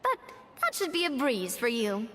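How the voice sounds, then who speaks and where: An upbeat young heroine, high and lively in her melody, reassuring her friends with a light teasing edge. Her lines echo with long reverb and faint background ambience. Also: normal speed, noisy background. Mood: encouraging.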